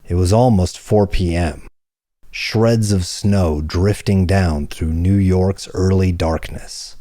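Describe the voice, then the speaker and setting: A man reading hardboiled crime fiction as an audiobook narrator, in a measured, deliberate storytelling manner. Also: sits low, fairly even intonation, dark tone, no room sound, studio quality.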